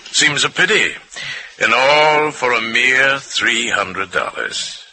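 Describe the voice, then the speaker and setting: A man's voice: medium pitch, lively intonation, slow, sharp tone, slight background noise, pitched above the performer's own voice, heavily acted, poor audio. A man playing a calculating, stern middle-aged businessman in a radio play, with an American accent and a formal, clipped, condescending way of talking.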